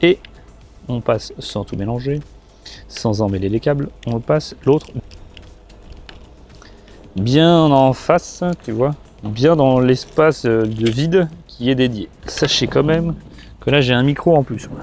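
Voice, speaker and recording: A man's voice: mid-range voice, slow pace, long pauses, darkish tone, a little hiss or hum. A man giving a casual hands-on product tutorial, chatting informally to the viewer and thinking aloud as a gear reviewer.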